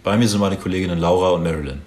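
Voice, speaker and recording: A young man in his twenties speaks with a very low voice as he co-hosts a podcast. He is relaxed and friendly, with casual filler words. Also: very fast. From Hamburg, Germany. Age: 20s. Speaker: podcast co-host.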